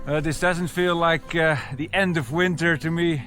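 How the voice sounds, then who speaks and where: A man chatting casually outdoors at a winter camp, often pausing mid-thought. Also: a little high, steady tone, fairly bright tone, faint music behind. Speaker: outdoor vlogger. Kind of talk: casual camping chat.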